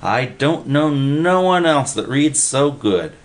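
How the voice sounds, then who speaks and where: A man reading a story aloud, voicing an excitable, simple young man who talks in breathless slang. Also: medium pitch, slow, voice pushed up. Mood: excited pleading.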